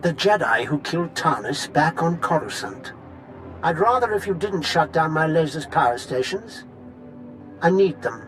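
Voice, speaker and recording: A sadistic Sith villain in a game cutscene. His voice sits a little high and swings widely in pitch as he taunts with theatrical courtesy. Faint music runs underneath. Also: lively intonation, moderate pace, a bit bright, low-quality recording. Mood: gloating, taunting. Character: gloating sadistic Sith villain, sneering and mockingly polite. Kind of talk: video game cutscene acting.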